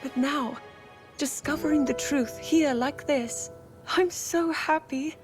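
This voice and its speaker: A woman playing an earnest, gentle young princess in a fantasy game, speaking politely and formally. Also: sits high, slow, over music. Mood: earnest, grateful. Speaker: video game character voice. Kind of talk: dramatic game cutscene acting.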